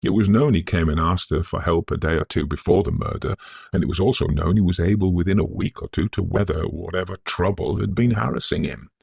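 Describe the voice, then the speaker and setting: Man as worried Victorian servant, respectful, earnest, measured, creaky voice, dry sound. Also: deep voice, a little mellow, no room sound, full character voice. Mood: anxious pleading. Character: loyal, anxious Victorian manservant, grave and deferential. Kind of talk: dramatised audiobook ghost story.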